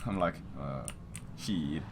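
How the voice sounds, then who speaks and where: A man's voice: very deep voice, slow pace. A man casually telling a rambling story to stream viewers in crude language full of swearing. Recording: noisy stream audio, keyboard sounds.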